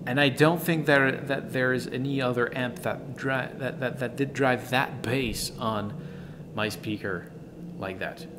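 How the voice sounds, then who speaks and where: A man who is a gives a casual product review in an enthusiastic, emphatic, halting way, often repeating words. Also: mid-range voice, fairly even intonation, background noise.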